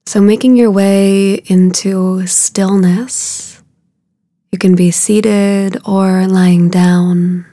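A woman guiding a meditation in a soothing, hushed way, soft and breathy at the ends of phrases, with unhurried, drawn-out phrasing. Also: medium pitch, flat delivery, no room sound, studio quality. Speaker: meditation guide. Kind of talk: guided meditation.

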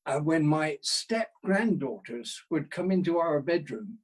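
Older guy getting interviewed, husky voice on the high side, humble, rambles and rephrases a bit. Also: expressive, a little slow. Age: 60s or older. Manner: self-deprecating, hesitant, thoughtful, rephrasing as he goes. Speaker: interview guest, writer. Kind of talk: casual conversational interview.

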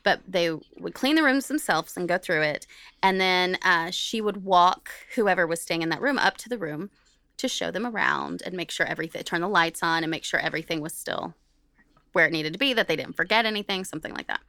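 A woman's voice: fairly low pitch, a little fast, no echo. A woman co-hosting a podcast, telling a story casually and acting out what people said as she goes. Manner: animated retelling, acts out quoted remarks. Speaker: podcast co-host.

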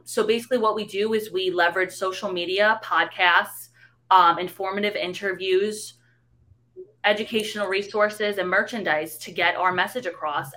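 A woman's voice: lowish voice, pushed and bright. A young woman from New York City with a slight Bronx accent, answering interview questions in articulate, detailed explanations. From New York City, USA. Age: young adult. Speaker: guest.

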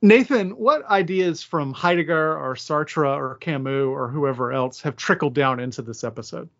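A man's voice: a little high, moderate pace, fairly bright tone, highs cut off. A man hosting a podcast, moderating a casual academic discussion.

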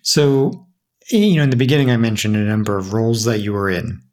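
Man, interview host, slightly low pitch, conversational, informal, roundabout questions. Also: fairly quick, a little mellow.

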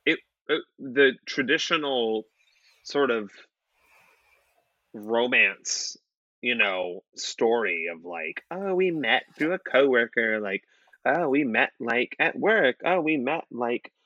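A man in his late twenties with a bright, forward voice, talking loosely and circling back on himself with lots of "like" and restarts. The sound is close and dry, a little dull in the highs. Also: animated, takes it slowly, no echo. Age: late 20s. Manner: rambling with many restarts and fillers, swears casually. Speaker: podcast co-host. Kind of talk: casual chat.

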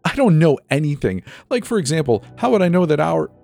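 A cocky teenage troublemaker played by a man talks back with breezy, mocking defiance. The pitch is pushed up high and bounces around, with music underneath, as in a dramatized story. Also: sing-song, brisk, gravelly, a little mellow. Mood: defiant, cocky.

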